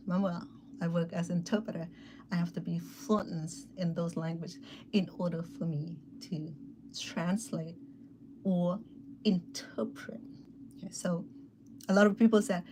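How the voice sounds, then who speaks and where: A woman's voice: fairly low pitch, warm tone, a little hiss or hum. Chatty woman with a Thai accent. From Thailand. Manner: chatty, addresses viewers directly with frequent "okay" and "remember". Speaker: cooking channel host. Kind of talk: casual personal storytelling to viewers.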